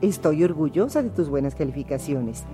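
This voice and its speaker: A woman's voice: low-pitched, lively intonation, brisk, mellow, soft background music. A woman playing a patient, reassuring mother who comforts her son. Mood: soothing. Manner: soothing, patient. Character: gentle, reassuring middle-aged mother.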